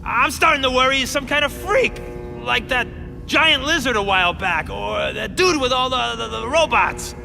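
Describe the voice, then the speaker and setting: An actor playing a nervous, stammering gang boss in a video game, boastful one moment and panicky the next. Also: male voice, extremely high pitch, animated, moderate pace, vocal fry, full character voice. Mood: anxious. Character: jittery male gang boss with a power suit. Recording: game audio with reverb and music.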